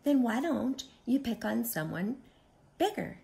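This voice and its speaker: A woman's voice: fairly high pitch, sing-song, a little slow, creaky, warm tone, voice pushed up, exaggerated voice. A woman voicing a friendly little storybook ladybug, speaking sweetly and politely.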